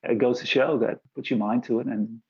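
Halting Bulgarian-born man, muffled sound. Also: mid-range voice, steady tone, a little mellow, husky. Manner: halting, searching for words. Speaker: guest actor. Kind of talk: casual interview chat.

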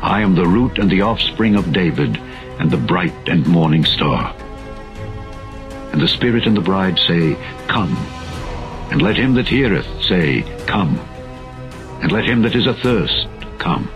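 A man narrating a dramatized scripture reading in a solemn, measured, formal manner. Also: deep voice, very animated. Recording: dull lo-fi audio with music behind. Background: background music.

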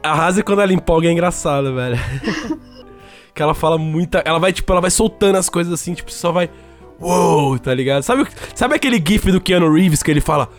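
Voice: mid-range voice, fairly quick, soft background music. A co-host with a São Paulo accent chatting casually, blunt and slangy, swearing freely. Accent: São Paulo Portuguese. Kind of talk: casual chat.

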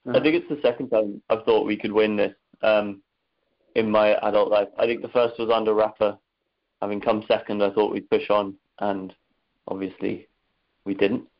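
A man with an Irish accent from Dublin, a podcast guest with a measured, dry manner. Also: lowish voice, level tone, lo-fi sound. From Ireland, Dublin. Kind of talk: casual podcast chat.

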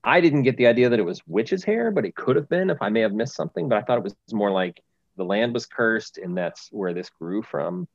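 A man's voice: fairly low pitch, expressive, a little fast, creaky, no room sound, lo-fi sound. A man co-hosting a podcast, chatting casually and rambling with lots of restarts. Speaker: podcast co-host. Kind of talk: casual chat.

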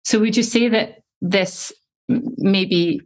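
A woman's voice: mid-range voice, takes it slowly, low-quality recording. A woman hosting a podcast interview, asking long, formal, winding questions. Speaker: podcast host.

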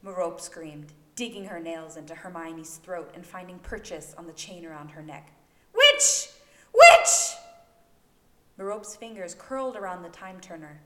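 A woman's voice: fairly low pitch, normal speed, few pauses. A woman reading fiction aloud as a dramatic, scripted narration for an amateur home-recorded audio story. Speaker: fan fiction podfic narrator. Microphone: new home recording microphone. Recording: echoey room, faint background noise.

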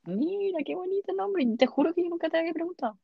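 A woman's voice: very high voice, animated, fast talker, vocal fry, no echo, dull audio. A woman co-hosting a homemade podcast, from Venezuela and Chile, chatting casually from a small closet. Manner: chatty, laughs often. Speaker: podcast co-host. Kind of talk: casual chat. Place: small closet at home, at night.

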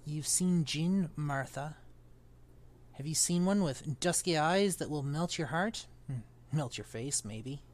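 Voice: mid-range voice, slow pace, lightly acted. A reader voicing a pompous, grumpy older male magician who blusters disdainfully. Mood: grumbling.

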